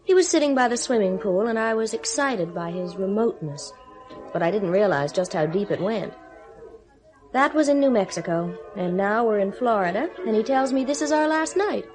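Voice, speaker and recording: An actress as a bitter astronaut's wife. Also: female voice, medium pitch, animated, normal speed, a little mellow, faint music behind, lightly acted, rough recording. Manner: weary, sarcastic. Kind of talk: 1970s radio drama acting.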